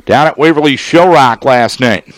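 A man with an American accent giving live baseball play-by-play on the radio, in measured, descriptive phrases with pauses between them. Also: medium pitch. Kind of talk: live sports play-by-play commentary.

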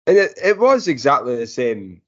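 A man's voice: mid-range voice, big pitch swings, normal speed, husky, muffled recording. A man co-hosting a podcast in casual sports chat. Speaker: podcast co-host.

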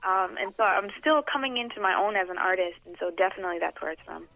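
A young woman from Boston, a poet being interviewed, speaking in a measured, thoughtful way with frequent hesitant "um"s. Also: medium pitch, animated, gravelly, pushed and bright. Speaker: guest poet. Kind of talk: interview conversation. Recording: dull lo-fi dry recording.

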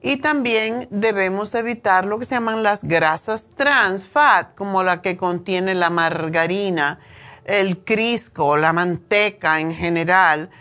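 A woman's voice: medium pitch, slow pace, fairly bright tone. A woman from Cuba in her sixties with a Cuban Spanish accent, giving an informal, opinionated health talk with many hesitations. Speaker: nutrition show host.

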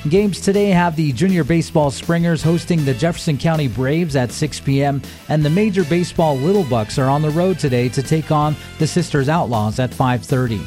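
A man reads a scripted local news bulletin in a mellow, fairly high-set voice. Music plays faintly behind him as he works through community updates. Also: fairly quick, few pauses. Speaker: local radio news reader.

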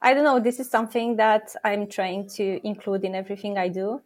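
A woman's voice: medium pitch, level tone, brisk. Woman explaining earnestly with fillers. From Romania. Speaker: startup co-founder and product officer guest. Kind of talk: interview answers.

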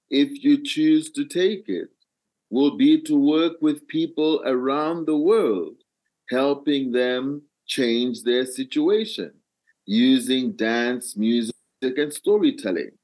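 An older man with a Malawian accent, telling his own story as an interview guest in a deliberate, phrase-by-phrase way. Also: medium pitch, darkish tone, rough recording. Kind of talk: reflective personal storytelling in an interview.

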